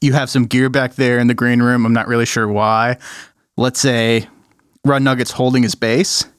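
A young man runs the tabletop game in a loose, easygoing way, his voice at an ordinary middle pitch. The recording is dry and close, with no room sound. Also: level tone, moderate pace, smooth, dry recording. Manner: casual, loose, laughing narration. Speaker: game master.

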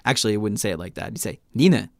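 A man hosting a casual podcast, talking in a relaxed, chatty way and laughing often. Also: lowish voice, rapid-fire, creaky, no room sound, studio quality. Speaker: podcast host.

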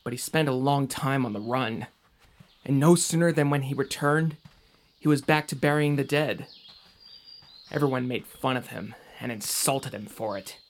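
A man's voice: medium pitch, normal speed, close to an everyday voice. Earnest, doubtful young man played by an actor. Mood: earnest, downcast. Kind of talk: audio drama acting.

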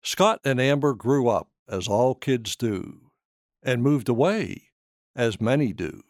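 A man narrates a short story at a slow, deliberate pace. His intonation moves freely and expressively, and the recording is close and dry. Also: medium pitch, expressive, no room sound. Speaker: author reading his own short story.

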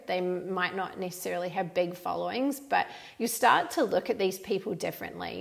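A woman with a New Zealand accent tinged with Australian, talking through her podcast in an animated, expressive way. Her voice carries a gravelly edge of fry at mid pitch. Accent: New Zealand with some Australian. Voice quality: animated, emphatic. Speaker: podcast host. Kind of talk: solo podcast talk.

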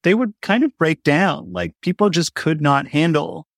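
A man's voice: on the high side, animated, normal speed, creaky, dry recording, clean studio sound. A man speaking as an interview guest, explaining his creative work in a casual, reflective way. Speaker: interview guest, audio drama writer.

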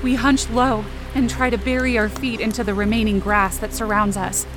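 A woman playing a frightened young girl who narrates an audio fiction horror story in a tense, breathy, emotional way. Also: a little high, little pitch movement, normal speed, over music, close to an everyday voice. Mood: tense, hushed. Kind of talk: first-person dramatic audio fiction narration.

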